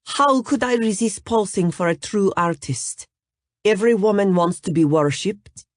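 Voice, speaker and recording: A woman's voice: medium pitch, moderate pace, voice pushed up, natural voice. A man voicing a seductive Russian supermodel with a thick Russian accent, speaking in a flirtatious, sultry way.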